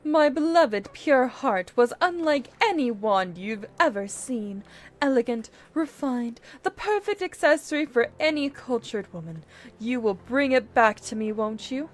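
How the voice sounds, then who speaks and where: A woman's voice: fairly high pitch, animated, a little slow. A woman voicing a refined, haughty wealthy lady in a video game, precise and elegant as she airs her grievance. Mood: wistful, demanding.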